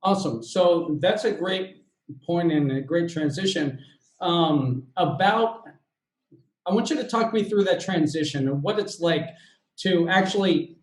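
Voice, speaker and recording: A man from the United States hosting an interview, talking in a relaxed, easygoing way with fillers. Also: fairly high pitch. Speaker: host. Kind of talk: casual interview chat. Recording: echoey room.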